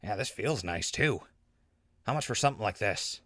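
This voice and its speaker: A wry wasteland survivor, played by a man, speaks in a low, forceful voice. He is casual and a little skeptical, in a dry audio drama recording. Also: animated, normal speed, no room sound. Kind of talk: post-apocalyptic audio drama acting.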